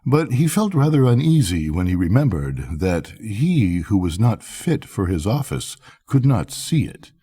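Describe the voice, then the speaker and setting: A man's voice: fairly low pitch, very animated, unhurried, few pauses, dark tone, husky. An older man reading a bedtime story expressively, as a storyteller.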